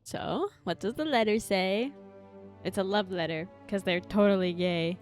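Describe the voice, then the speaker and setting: A woman's voice: medium pitch, measured pace. A woman giving casual live game commentary as a streamer, joking in a slangy, sarcastic way. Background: faint game music.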